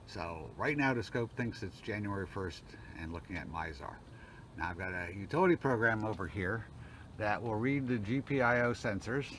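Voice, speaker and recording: A man with a fairly low voice walks through a hobby technical demonstration. He is plain-spoken and informal, narrating each step as he does it. Some noise sits behind his voice in what sounds like a homemade recording. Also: a bit bright. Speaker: hobbyist demonstrating a homemade telescope automation setup. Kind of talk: informal hands-on technical demo. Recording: noticeable background noise, homemade video.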